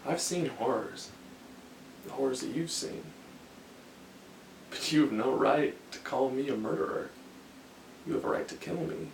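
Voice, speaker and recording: A man acting out a haunted army officer in a film monologue, brooding and philosophical. He speaks very slowly and haltingly, with a gravelly creak. The sound is echoey, as if caught across a room. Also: mid-range voice, lightly acted. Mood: brooding, menacing. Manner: halting, deliberate, with long pauses and repeated phrases. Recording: echoey room, distant mic, faint noise.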